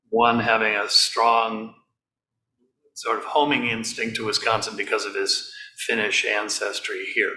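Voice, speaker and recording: A man giving an informal talk to a group, relaxed and halting, with many fillers. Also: lowish voice, slight background noise. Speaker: speaker leading a group talk. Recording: echoey room.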